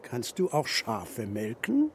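An actor playing a gruff, stubborn old shepherd grandfather who speaks tersely and bluntly in a children's radio play. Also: medium pitch, wildly swooping pitch, slow, slight background noise, full character voice. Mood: defiant, dry. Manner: terse, blunt, answers with counter-questions.

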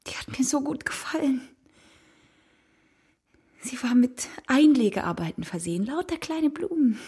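A woman's voice: high-pitched, sing-song, a little slow, a little mellow, slightly rough, heavily acted. An actress playing an evasive, guilty mother in a children's radio play, speaking hesitantly and coaxingly. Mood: defensive, wistful. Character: evasive, guilty mother confessing to her husband.

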